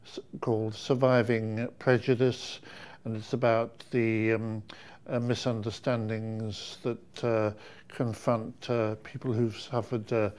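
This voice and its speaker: A man speaking very slowly in a flat, even tone. His delivery is halting and full of stumbles as he gives an author's informal testimonial. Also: fairly low pitch, clear, resonant tone. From Nottingham, England. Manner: halting, heavily hesitant, stammering over words. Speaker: seminar attendee, author.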